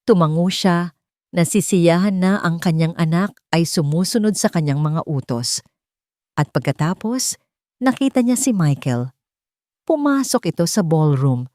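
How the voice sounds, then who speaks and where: A Filipino woman narrates a dramatic romantic story. Her voice sits low for a woman. The sound is dry, with little room around it, and the delivery is that of a scripted storyteller. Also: darkish tone, dry recording.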